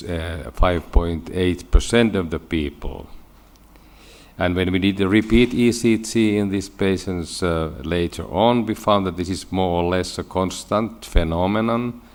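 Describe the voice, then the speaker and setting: A man with a Finnish accent giving a scientific conference lecture in halting English, often repeating words. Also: low-pitched. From Finland. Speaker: medical researcher giving a lecture.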